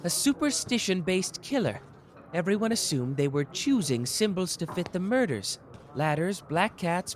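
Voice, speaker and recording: A man voicing a sharp, playful young detective in an ASMR roleplay, teasing and quick-witted. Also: a little high, a little hiss or hum. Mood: dark. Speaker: voice actor.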